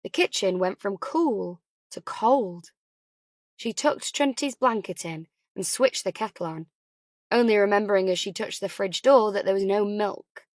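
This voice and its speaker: A woman's voice: medium pitch, moderate pace, a little mellow, dry recording, studio quality. A woman reading a novel as an audiobook narrator, in scripted storytelling style.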